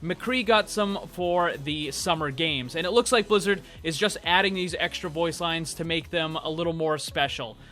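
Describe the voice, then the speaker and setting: A man's voice: a little high, normal speed, hardly any pauses, a bit bright, faint music behind. A man hosting gaming news, speaking in an emphatic, informal way straight to his viewers. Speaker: gaming news channel host. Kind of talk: upbeat scripted gaming news narration.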